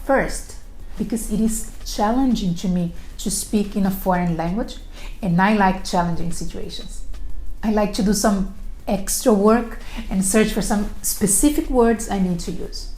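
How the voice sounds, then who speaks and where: Woman, non-native English accent, soft-edged mellow tone, careful phrasing, recruiter presenting to camera, roomy echo. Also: mid-range voice, measured pace. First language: not English. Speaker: recruiter presenting a video series. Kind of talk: prepared self-introduction to camera. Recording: roomy echo, faint background noise.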